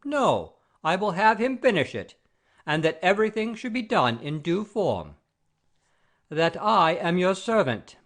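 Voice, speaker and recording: A man's voice: high-pitched, sing-song, unhurried, a bit bright, exaggerated voice. A man playing an imperious, pompous father-in-law obsessed with honour and rank in a classic comedy audio play. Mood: dictating. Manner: commanding, pompous, formal.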